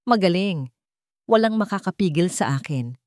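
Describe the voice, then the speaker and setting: A greedy, scheming villain played by a woman narrator gives threatening orders in an audio drama. The delivery swings widely in pitch and sounds dry and close. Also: expressive, moderate pace, a little mellow, no echo, lightly acted. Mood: menacing. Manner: commanding, threatening. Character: angry, menacing scheming man, greedy villain. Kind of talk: dramatic audio-story dialogue.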